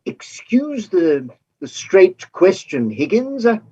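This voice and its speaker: A man playing a principled, courteous gentleman with a formal, earnest and slightly hesitant manner in a staged play reading. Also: high-pitched, very animated, slow, slightly rough, heavily acted. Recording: dull, muffled lo-fi sound.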